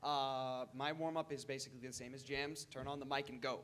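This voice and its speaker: A man's voice: medium pitch, steady tone, a little fast, fairly bright tone. A man talking casually as a voice actor on a panel, on a handheld microphone in a convention room. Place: indoor convention meeting room. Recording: faint room noise at live panel.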